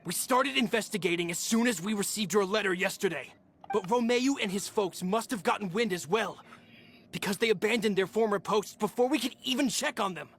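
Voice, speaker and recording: A man's voice: sits high, brisk, a bit bright, a little hiss or hum. An actor playing a loyal young henchman in a video game, urgently reporting to his boss.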